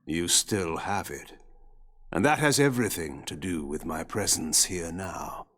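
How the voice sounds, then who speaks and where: An actor playing a proud, ancient vampire lord in a video game, grave and measured. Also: male voice, a bit bright. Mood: grave, cryptic. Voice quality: husky, gravelly low voice. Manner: measured, imperious, theatrical delivery. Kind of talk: dramatic video game cutscene acting.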